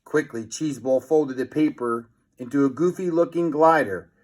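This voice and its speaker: A man's voice: medium pitch, normal speed, a little mellow. A man reading a children's story aloud as a theatrical narrator, with teasing asides to young listeners. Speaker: storybook reader.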